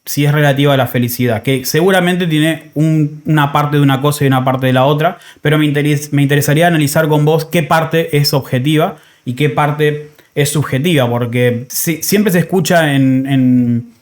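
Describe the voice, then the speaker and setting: A man's voice: a little high, steady tone, normal speed, hardly any pauses. A man in his thirties with an Argentine accent, hosting a podcast in a casual, reflective way and often rephrasing as he goes. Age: 30s. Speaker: podcast host. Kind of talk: casual conversational podcast talk.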